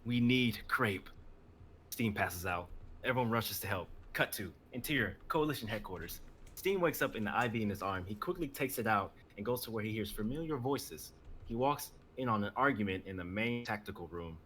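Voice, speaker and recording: A man's voice: lowish voice, animated, fairly quick, a bit bright, a little hiss or hum. A man narrating stage directions in a live dramatic reading of a script.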